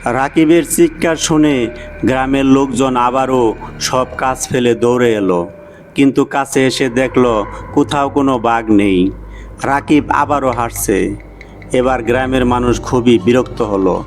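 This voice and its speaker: A man narrating a cartoon moral story for children in a measured, scripted storytelling way. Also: medium pitch, slight background noise. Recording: music behind the voice.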